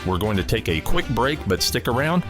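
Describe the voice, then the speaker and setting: A man's voice: mid-range voice, sing-song, fairly quick, over music. A man hosting a radio show with scripted introductions. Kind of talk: scripted narration.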